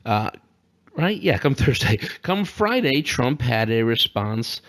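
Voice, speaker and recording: A man's voice: mid-range voice, big pitch swings, slow, fairly bright tone. A man co-hosting a podcast with casual, joking political commentary full of teasing asides. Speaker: podcast co-host.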